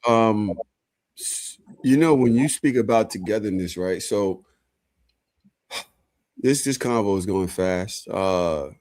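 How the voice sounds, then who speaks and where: Halting, low-voiced podcast co-host. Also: male voice, darkish tone. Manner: halting, many fillers, restarts. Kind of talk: casual podcast chat.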